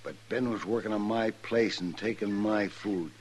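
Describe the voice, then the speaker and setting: A man's voice: lowish voice, moderate pace, husky. A man playing a mean, stubborn old horse rancher in a radio western, with a defiant, folksy drawl and an American Western accent. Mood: defiant, scornful. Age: older. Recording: old radio recording with noise.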